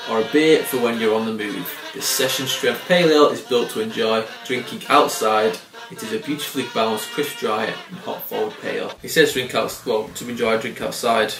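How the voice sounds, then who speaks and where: A man in his thirties casually reviewing a beer to camera, relaxed and swearing freely with frequent chuckles. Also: lowish voice. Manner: laid-back, swears casually, chuckles often. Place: small indoor room by window blinds. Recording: roomy and slightly distant.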